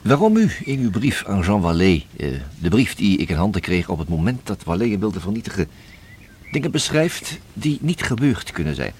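An actor in a Dutch radio play as a courteous, persistent police investigator, speaking in a formal, long-winded, deliberate way. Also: male voice, lowish voice, big pitch swings, a little mellow, a little hiss or hum. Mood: formal, matter-of-fact.

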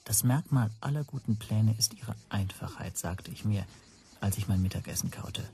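A man's voice: on the low side, moderate pace, very noisy, natural voice. An actor playing a tense, self-doubting man who tells his own story as introspective first-person narration in a radio play. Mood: tense, deliberate.

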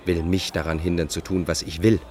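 An actor in a radio play voicing a defiant, brooding fugitive of about forty, in a deliberate, theatrical manner. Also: male voice, low voice, little pitch movement, a little mellow, natural voice. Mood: brooding defiance. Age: 40s. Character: defiant, self-absorbed forty-year-old male fugitive, bored no more. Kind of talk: radio drama acting, interior monologue and dialogue.

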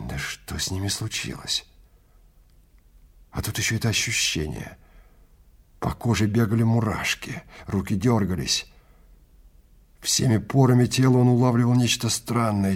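A man narrating a science fiction audio drama theatrically, in deliberate short phrases with weighty pauses. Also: fairly low pitch, breathy edge. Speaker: narrator and actor.

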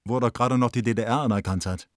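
A man's voice: mid-range voice, very fast, no room sound, clean studio sound, close to an everyday voice. An actor playing an opinionated, affectionate young bohemian intellectual, wry and emphatic as he reads out his letter in a radio play. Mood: brisk, chatty. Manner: wry, emphatic, self-important.

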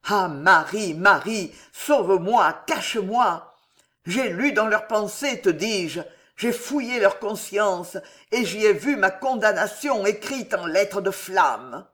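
A man's voice: high voice, animated, takes it slowly, a bit bright, heavily acted. A reader voicing a young king gripped by paranoid terror, feverish, moving from tender confession to shrieking for his guards in an audiobook. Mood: terrified. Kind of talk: audiobook character reading.

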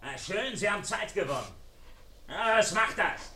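Casting: patient, menacing stalker for radio play, taunting, distant from the mic in echoing space, noisy. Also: male voice, high-pitched, very animated, pushed and bright, exaggerated voice. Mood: taunting, unhurried. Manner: taunting, unhurried. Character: menacing, patient intruder taunting his hidden prey. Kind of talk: German radio crime drama acting.

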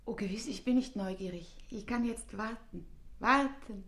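An actress in a German radio drama playing a fervent, fragile woman consumed by love, halting and intensely emotional. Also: mid-range voice, fairly bright tone, close to an everyday voice. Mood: nervous, polite.

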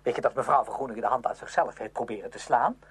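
An actor playing a headstrong, outspoken young music student in a Dutch radio play, speaking emphatically and with defiance. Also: male voice, medium pitch, fast, husky. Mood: indignant. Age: young adult. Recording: noisy old radio drama recording.

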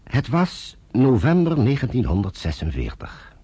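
An actor playing a solemn older retired British army general who narrates in a grave, measured way. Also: male voice, mid-range voice, wildly swooping pitch, background noise. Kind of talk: 1950s radio drama narration.

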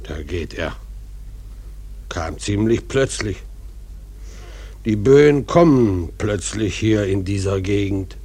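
Ship's carpenter, slow low voice, muttering, stolid, deferential, radio drama. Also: male voice, warm tone, slightly rough, exaggerated voice. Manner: muttering, unbothered, plain-spoken. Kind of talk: German radio drama acting.

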